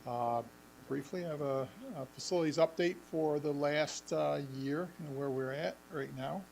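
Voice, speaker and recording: Guy losing his voice, gravelly, lots of ums, giving a board meeting report, faint hum behind. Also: fairly high pitch, a little mellow, poor audio. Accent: Western New York. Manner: halting, frequent ums and restarts. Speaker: school facilities director. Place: school administration meeting room.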